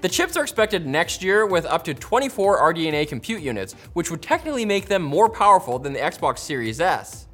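Guy doing tech news, kinda high voice that swings around, sarcastic jokey asides. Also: big pitch swings, fairly quick, few pauses, fairly bright tone, soft background music. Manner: playful, sarcastic.

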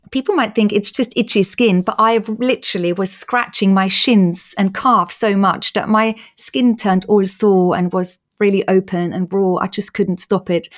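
A woman in her forties from Austria, with an Austrian accent, speaking openly and earnestly as an interview guest. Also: mid-range voice. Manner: earnest, open, quick-flowing. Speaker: guest, patient advocate. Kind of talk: candid interview conversation.

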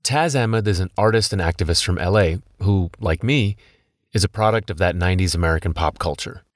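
A man's voice: sits low, a little fast, dry recording, studio quality. A man in his thirties, a podcast host telling a personal story in scripted documentary narration.

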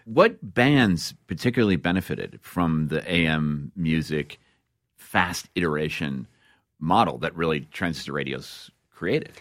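Deep-voiced American male radio host. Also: expressive, a little slow, fairly bright tone, no room sound, studio quality. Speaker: radio show host and interviewer. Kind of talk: radio presenting, scripted intros and interview.

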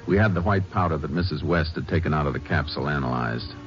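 An actor playing a calm, methodical Texas Ranger who questions politely and evenly in an old-time radio western. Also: male voice, extremely low pitch, quick pace, loud music behind the voice. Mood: calm, businesslike. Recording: muffled, noisy vintage radio recording.

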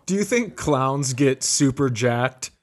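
A man, a comedian co-hosting a podcast, trading casual banter with dry, quick quips. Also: medium pitch, lively intonation.

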